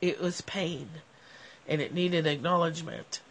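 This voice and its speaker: A woman's voice: low-pitched, unhurried, slightly rough. Nasal woman with a cold, musing. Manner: animated, gestures a lot while talking. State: has a cold. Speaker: livestream host. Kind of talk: casual reflective talk to viewers. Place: covered outdoor porch. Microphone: phone at arm's length.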